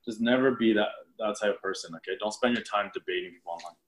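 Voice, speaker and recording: A man's voice: on the low side, quick pace, low-quality recording. A man chatting casually as podcast host, rambling with many fillers and restarts. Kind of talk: casual chat.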